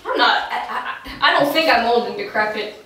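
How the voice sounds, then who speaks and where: A young woman voicing a doubting, decrepit old billy goat in improvised comedy, halting and rambling. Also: on the high side, natural voice. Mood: rambling, doubtful. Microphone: distant camera microphone. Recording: echoey, noisy room from far off.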